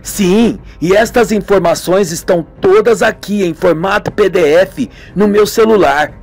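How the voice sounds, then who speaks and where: A man's voice: high-pitched, a little slow, gravelly, pitched above the performer's own voice, exaggerated voice. A man voicing a knowing, eager young man who explains things in an amateur radio soap, with music. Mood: confident.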